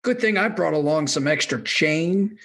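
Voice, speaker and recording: Man as swamp villain, gravelly, raspy, greedy, sneering, comic radio drama acting. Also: fairly high pitch, expressive, normal speed, fairly bright tone. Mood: menacing, gloating. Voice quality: gravelly, rough.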